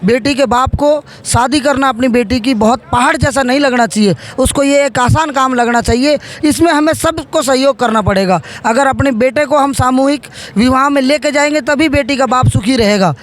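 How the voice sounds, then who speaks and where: A man answering interview questions as a politician, halting and repeating phrases as he goes. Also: very high-pitched. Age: 30s.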